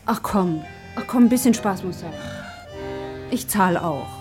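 A female police detective played by an actress in a radio crime drama. Her voice sits at medium pitch, and she speaks with a cool, dry, teasing manner, with music faintly underneath. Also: expressive, unhurried, close to an everyday voice.

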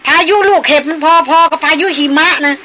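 หญิงเล่นเป็น หัวหน้าคณะผู้ดีตะโกนสั่ง. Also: female voice, very high-pitched, steady tone, fairly quick, slight background noise, voice pushed up. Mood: urgent shouting. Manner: shouting, firm. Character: aristocratic male expedition leader, commanding, shouting over a storm.

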